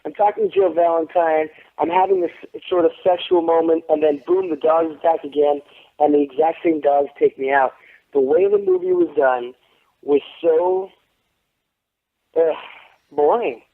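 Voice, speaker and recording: A man's voice: a little high, moderate pace. A man in his thirties, an actor giving an interview, telling stories with lots of swearing and boasting, acting out what others said. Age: 30s. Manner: profane, boastful, animated storytelling with quoted voices. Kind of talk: casual phone interview. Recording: tinny phone line.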